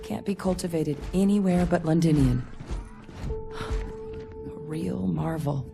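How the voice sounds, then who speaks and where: An actress playing an excitable scientist woman in a video game, full of awe and alarm at discoveries, with music underneath. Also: on the low side, takes it slowly, vocal fry, heavily acted. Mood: awed.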